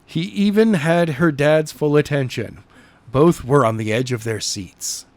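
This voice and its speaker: A man's voice: on the high side, a little slow, no room sound. A man working as an amateur narrator and podcast co-host, reading a novel and chatting casually about it.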